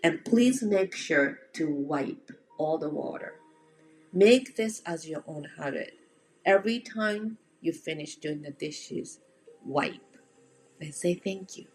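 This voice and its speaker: A woman with a Japanese accent coaches listeners in a casual, direct teaching style. Her pitch sits a little low and her pace is slow. She checks in with the listener plainly and encouragingly, over faint background music. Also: animated, a little mellow. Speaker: home organizing coach. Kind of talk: instructional presentation, casual and direct.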